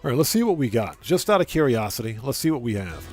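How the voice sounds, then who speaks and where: A man's voice: medium pitch, very animated, quick pace, darkish tone. Chatty man livestreaming a game over music. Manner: chatty, thinks aloud, repeats phrases. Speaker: livestream host. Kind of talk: casual gaming livestream commentary, greeting chat.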